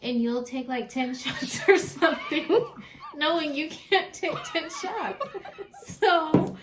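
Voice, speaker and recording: A woman's voice: sits high, slow pace, background noise. A woman reading out listener questions on a casual chat show and teasing her mother and aunt. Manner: teasing, laughing, animated. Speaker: guest question reader, daughter of a host.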